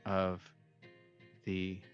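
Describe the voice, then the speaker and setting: A man with a fairly low voice narrates a tabletop game. He tells the story deliberately and formally, pausing as he improvises. The audio is a little dull, with faint music behind him. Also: completely flat delivery. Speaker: game master.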